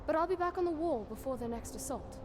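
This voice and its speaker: A woman's voice: high-pitched, expressive, fast talker, noisy background. A woman playing a resolute, defiant young warrior in a video game, firm and earnest in manner. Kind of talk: cinematic video game acting.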